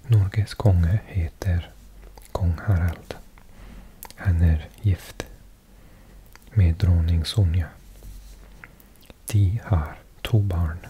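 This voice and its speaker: A man from Wales whispering slowly and gently as he reads from a language textbook, correcting himself as he goes. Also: deep voice, fairly even intonation, background noise. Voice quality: soft unvoiced whisper. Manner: slow, gentle, self-correcting learner. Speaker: ASMR creator. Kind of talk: whispered ASMR language reading. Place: indoors at a table.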